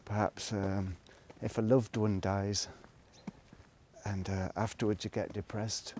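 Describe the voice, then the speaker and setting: Low-pitched, musing man. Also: level tone, slow pace, darkish tone, slight background noise. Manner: hesitant, thinking aloud, trailing off. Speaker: solo video blogger. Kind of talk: rambling, reflective casual monologue.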